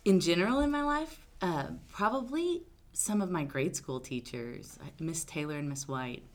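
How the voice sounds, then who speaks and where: A woman attorney from Arkansas, answering interview questions in a loud, outgoing, talkative way. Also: lowish voice, animated, moderate pace. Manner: loud, big personality, talkative. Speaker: attorney guest.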